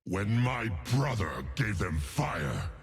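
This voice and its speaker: An ancient, brooding bear god of thunder from a video game. The voice is low and full of gravel, swinging widely as it proclaims its wrath. It is grandiose and menacing. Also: male voice, sing-song, slow, soft background music, full character voice. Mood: grim, brooding. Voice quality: gravelly, deep, raspy growl. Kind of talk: video game character voice lines.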